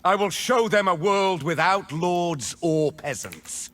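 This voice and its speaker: A man playing a bitter, defiant rebel mage in a video game, speaking deliberately and dramatically. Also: high voice, lively intonation, a bit bright, heavily acted. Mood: grim defiance. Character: defiant adult male mage rebel, bitter, grimly intense. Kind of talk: video game character voice lines.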